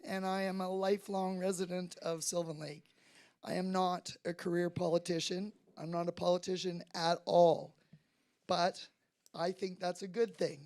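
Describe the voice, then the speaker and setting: A council candidate delivering a prepared campaign speech in a firm, plainspoken and emphatic way. The voice sits at a middle pitch and is speaking in a community rec center hall. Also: a little mellow.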